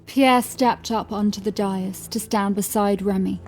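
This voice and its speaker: Female narrator for gothic horror: unhurried dramatic reading, mid-pitched, soft music underneath. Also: steady tone.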